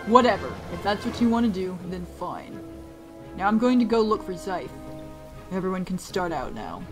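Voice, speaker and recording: A woman playing a blunt, suspicious assassin officer in an animated fantasy series, brusque and sardonic in manner. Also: mid-range voice, moderate pace, natural voice. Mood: irritated. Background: music.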